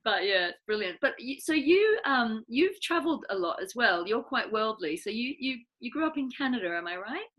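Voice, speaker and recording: A woman's voice: a little high, very animated, normal speed, few pauses, fairly bright tone. Chatty Australian-born woman host. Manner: chatty, friendly, laughs easily. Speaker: interview host. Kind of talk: casual interview chat.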